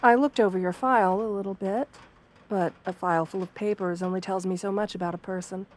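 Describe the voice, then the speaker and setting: Soft-spoken, gentle wolf-girl therapist played by a woman. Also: medium pitch, fairly even intonation, close to an everyday voice. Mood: polite, welcoming. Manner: gentle, measured, formal and reassuring. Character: sweet, understanding female wolf-girl therapist, professional and composed. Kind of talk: soft-spoken ASMR roleplay.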